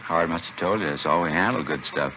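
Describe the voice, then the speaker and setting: An actor playing a slangy, offhand narcotics dealer in a 1950s radio crime drama. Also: male voice, low-pitched, fast talker, close to an everyday voice. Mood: businesslike. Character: male narcotics dealer, casual, wary, streetwise. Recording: dull noisy vintage radio recording.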